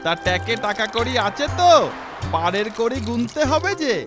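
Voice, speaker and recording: A man's voice: extremely high pitch, very animated, moderate pace, music in the background. An actor playing a brusque, grumbling rustic boatman who cares about money, in a children's cartoon. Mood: hurried, suspicious. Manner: brusque, grumbling, repeats himself. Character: gruff, money-minded rustic boatman, grudgingly kind.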